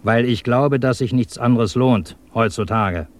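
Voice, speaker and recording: An actor playing a sardonic, insistent German communist agent and former officer in a 1950s radio drama, speaking in a clipped, probing way. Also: male voice, mid-range voice, unhurried, lightly acted. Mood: earnest, insistent. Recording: 1950s radio recording, faint noise.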